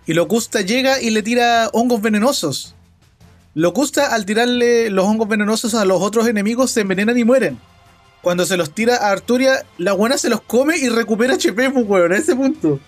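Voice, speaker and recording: A young Chilean man with a high voice chats loosely through a gameplay stream, reading viewers' messages aloud and joking about them. His tone stays casual and playful, and faint game music runs quietly behind him. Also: a little fast. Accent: Chilean Spanish.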